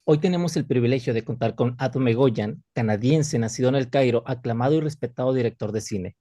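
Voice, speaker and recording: A man's voice: medium pitch, mellow, no echo, clean studio sound. A man hosting the show, reading a formal, announcer-like introduction of his guest. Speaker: show host. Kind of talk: read introduction.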